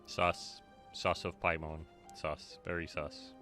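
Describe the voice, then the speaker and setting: Very deep-voiced man, game streamer. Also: flat delivery, slow pace. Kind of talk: casual gameplay commentary. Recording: loud game music behind. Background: video game music.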